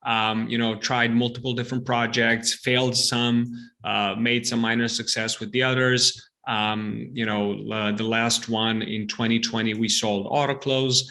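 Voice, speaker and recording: A man's voice: medium pitch, little pitch movement, normal speed, sharp tone. Chatty Balkan-born founder man. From Serbia, Balkans region. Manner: repeats words often, many fillers. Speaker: startup CEO guest. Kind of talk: casual podcast interview.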